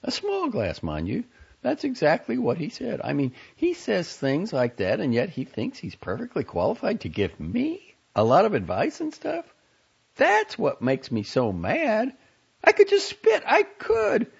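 A man's voice: high-pitched, wildly swooping pitch, measured pace, gravelly, breathy edge, voice pushed up, full character voice. A man voicing a weary, distraught young woman. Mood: exasperated. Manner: pleading, exasperated. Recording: dull lo-fi audio.

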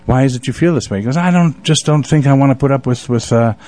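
A middle-aged man from Africa hosting a casual radio interview, telling anecdotes in an expressive, rambling way. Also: mid-range voice, fast talker. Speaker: radio show host.

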